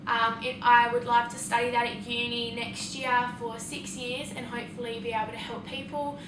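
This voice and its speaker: A woman's voice: a little high, level tone, normal speed. Teenage Australian female student, casual answers, echoey and noisy recording. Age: late teens. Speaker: student panellist. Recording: echoey noisy room, distant mic.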